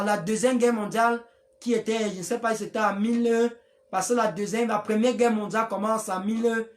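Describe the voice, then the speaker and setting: A speaker, giving a rambling, preachy live-stream address to followers, with many restarts. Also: mid-range voice. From Africa.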